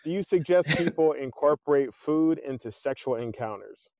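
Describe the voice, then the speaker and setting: A man hosting a casual interview podcast, playful and quick to laugh as he asks questions. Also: fairly high pitch, expressive, creaky, darkish tone, no echo. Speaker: podcast host.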